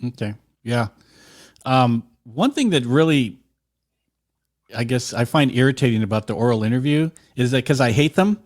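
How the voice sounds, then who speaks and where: A man's voice: medium pitch, expressive, normal speed, long pauses. A man hosting a podcast, asking blunt, frank questions in casual chat. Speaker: podcast host.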